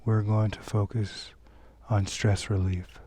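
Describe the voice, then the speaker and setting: Slow, low-voiced man guiding meditation. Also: husky. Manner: gentle, calm, unhurried instruction. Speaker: meditation teacher. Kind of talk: guided meditation. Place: outdoors.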